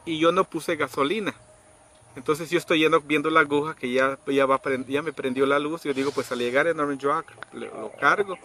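A middle-aged man casually telling a story outdoors, acting out quoted people with sudden high pitched voices. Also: fairly even intonation, moderate pace, bright, forceful tone. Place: outdoors under trees in a rural yard. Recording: outdoor noise in background.

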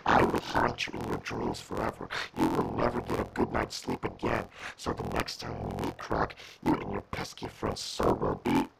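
A man's voice: very deep voice, big pitch swings, creaky, over loud music, exaggerated voice, low-quality recording. A man voicing a menacing, boastful demonic villain with a growling, grandiose delivery in amateur scripted voice lines. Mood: gloating, threatening. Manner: slow, grandiose, threatening. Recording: loud noise and clicks, crude home recording.

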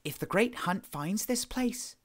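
A man's voice: high voice, lively intonation, a little slow, fairly bright tone, pitched above the performer's own voice, exaggerated voice. A man voicing a blunt, friendly, informal woman adventurer in an audiobook. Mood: wry, questioning. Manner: informal, plain-spoken.

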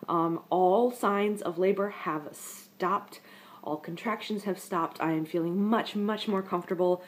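Young woman, lowish voice, stuffed up from a cold, rambling chattily to her webcam on the couch. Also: a little slow, slight background noise. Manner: chatty, rambling, talks straight to viewers. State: congested from a head cold. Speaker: vlogger. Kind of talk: casual pregnancy update to camera. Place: living room, indoors, on a couch.

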